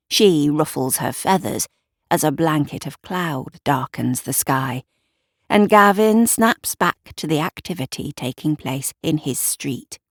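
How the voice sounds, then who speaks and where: A woman narrating a scripted audio drama in a measured, deliberate, atmospheric storytelling manner. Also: deep voice, darkish tone, no echo, clean studio sound. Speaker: writer and narrator.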